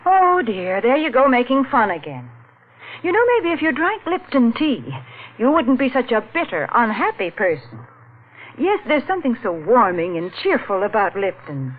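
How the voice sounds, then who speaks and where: An actress playing a cheerful, chiding homemaker who gives the sponsor's pitch in a 1940s radio style. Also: female voice, big pitch swings, moderate pace. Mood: prim chiding. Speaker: sponsor spokeswoman. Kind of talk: 1940s radio commercial banter. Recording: muffled, noisy vintage radio.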